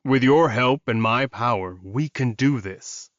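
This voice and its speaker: A man's voice: medium pitch, a little slow, a bit bright, no room sound, highs cut off, close to an everyday voice. A man voicing a weary, defiant captive male werewolf in an audiobook, grumbling and pleading. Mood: earnest, pleading.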